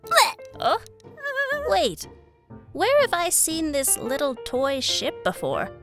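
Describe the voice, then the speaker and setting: Woman as high, wildly expressive young princess. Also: slow pace, faint music behind, exaggerated voice. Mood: disgusted, puzzled. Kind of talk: cartoonish fandub acting.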